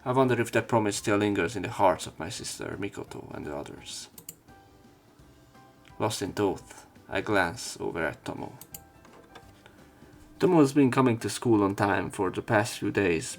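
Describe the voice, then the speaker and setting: A man narrating a visual novel as a let's player, reading its story and dialogue aloud. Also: fairly low pitch.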